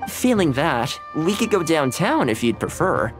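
A man's voice: on the high side, big pitch swings, unhurried, over music. An actor playing a friendly young man in an anime video game, cheerful, polite and laid-back. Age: young adult. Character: friendly, easygoing young male anime housekeeper. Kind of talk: scripted video game dialogue.

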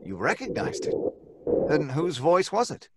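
A man's voice: fairly high pitch, sing-song, measured pace, heavy background noise. A man playing a worried, sensible husband with an earnest, exasperated manner in an old-time radio drama. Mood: soothing, doubtful.

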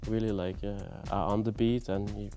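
A young man, a physical comedian, speaking hesitantly with many fillers in an interview on a dark theatre stage. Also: fairly low pitch, level tone, normal speed, music in the background. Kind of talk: casual interview.

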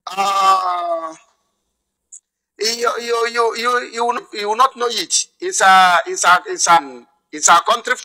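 Cheery nigerian guy in his 30s on a video call, high bright voice, says everything twice. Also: takes it slowly, rough recording. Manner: repeats each phrase, cheerful and friendly. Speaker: video call chat partner. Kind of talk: casual video call chat. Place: indoors at home.

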